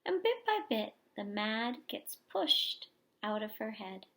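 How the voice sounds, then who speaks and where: Slow-paced, higher-voiced woman reading to children. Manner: animated, explains and questions for young children. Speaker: teacher reading a picture book aloud. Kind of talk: expressive children's story read-aloud with asides to listeners.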